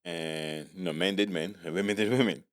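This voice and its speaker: A middle-aged man from Barbados hosting a podcast in casual, animated chat, repeating words and laughing often. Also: low-pitched, fairly quick, clean tone, no echo, studio quality. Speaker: podcast host.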